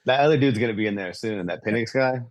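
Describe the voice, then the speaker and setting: A man's voice: on the low side, quick pace, no room sound. A man talking as a podcast guest in a casual chat about sports.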